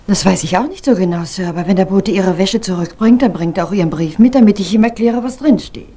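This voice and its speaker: A woman acts as a prim secretary in a retro radio mystery. Her mid-pitched voice is mellow and husky, with a polite, matter-of-fact deference. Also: fast, natural voice. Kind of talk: German retro crime radio drama.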